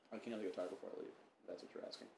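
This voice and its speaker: A young man co-hosting a casual podcast, rambling and profane, with lots of stammered restarts. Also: fairly low pitch. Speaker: podcast co-host. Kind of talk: casual banter. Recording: echoey room with faint noise.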